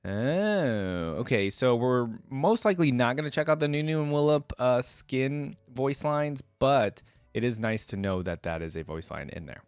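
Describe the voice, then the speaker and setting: A man's voice: medium pitch, expressive, normal speed, smooth, dry recording. A man hosting a casual reaction video, reading reference text aloud and adding easygoing asides of his own. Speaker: host of a game lore reaction channel.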